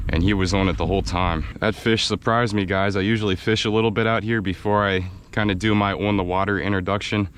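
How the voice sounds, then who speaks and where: An American man with a slightly raspy, worn voice, chatting excitedly while fishing from a kayak outdoors, and laughing often. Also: fairly low pitch, fast, hardly any pauses. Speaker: fishing video host. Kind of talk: casual on-the-water vlog narration. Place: outdoors on a kayak in a windy swamp.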